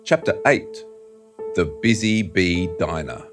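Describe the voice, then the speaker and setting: A man narrating an audio drama in a measured, deliberate, scripted storytelling style. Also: low voice, sing-song, music in the background.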